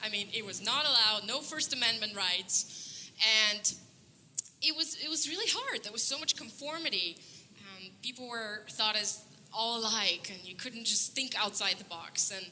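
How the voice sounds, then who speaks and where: A woman's voice: medium pitch, lively intonation, normal speed, pushed and bright, a little hiss or hum. A young woman from Bulgaria giving an upbeat stage talk at a conference, earnest, laughing often, with many fillers and restarts. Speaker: conference speaker. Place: conference stage before an audience.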